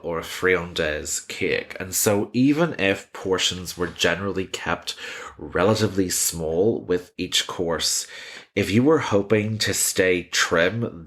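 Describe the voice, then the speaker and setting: A man hosting a history podcast, reading scripted narration with measured, wry conversational asides. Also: low voice, fairly bright tone. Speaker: history podcast host and author.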